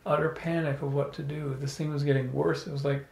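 A middle-aged man telling an animated, playful story to a small audience, holding a handheld microphone. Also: a little high, fairly quick, gravelly. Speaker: salon speaker. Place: room with small live audience. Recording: echoey room.

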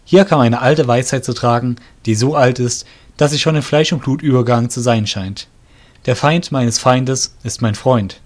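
A man narrating a scripted fantasy audio drama in a measured storybook manner. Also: mid-range voice.

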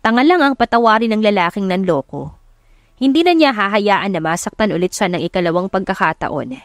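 A woman's voice: medium pitch, animated, brisk, no room sound. Woman narrating a romance, dry studio-close sound. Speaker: audiobook narrator. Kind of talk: dramatic scripted romance storytelling.

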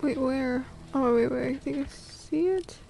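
A woman's voice: high voice, measured pace, gravelly. A young woman streaming a game, making short casual exclamations and questions to herself. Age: young adult. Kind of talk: casual gameplay reactions. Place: indoors, small room at a desk. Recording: loud game gunfire behind voice.

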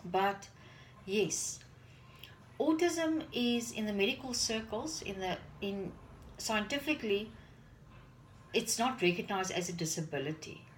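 A woman's voice: medium pitch, lively intonation, measured pace, pauses often, a little hiss or hum, low-quality recording. A woman in her forties, a native Afrikaans speaker, talking earnestly to a phone camera in her home kitchen while doing chores, often repeating words as she searches for them. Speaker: parent vlogger. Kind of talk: casual talk to camera. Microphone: phone camera on the counter.

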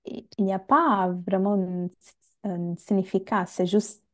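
A young woman whose first language is Italian, talking on a video call, speaking slowly and searching for words as she chats with the host. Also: mid-range voice, fairly even intonation. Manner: slow, searching for words with restarts. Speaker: guest. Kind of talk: casual chat. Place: home room. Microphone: earphone mic on a video call.

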